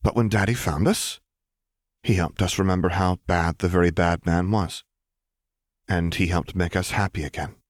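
Audiobook mother, played by a man: dangerous, controlled, measured delivery with simmering edge. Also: low voice, fairly even intonation, no room sound, studio quality, close to an everyday voice. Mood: solemn, measured. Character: stern, simmering young mother, dangerous and caustic.

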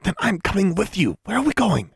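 A man voicing an anxious, indignant, loyal schoolgirl witch in an audiobook, with an insistent, breathy delivery. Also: sits high, huge pitch swings, slow pace, dry recording, studio quality, voice pushed up, full character voice. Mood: insistent whisper.

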